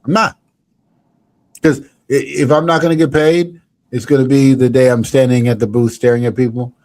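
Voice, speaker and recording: A man's voice: medium pitch, steady tone, moderate pace, darkish tone. Rambling jokey podcast host, a man. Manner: rambling, jokey, restarts a lot. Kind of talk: casual comedic chat.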